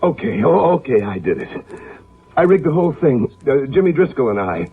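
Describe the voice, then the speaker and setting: Creaky-voiced spoiled young man. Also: mid-range voice, very animated, fairly quick. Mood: sullen. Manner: petulant, blustering. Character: spoiled, hot-headed rich young son. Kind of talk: old-time radio drama acting. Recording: tinny old radio recording, hiss.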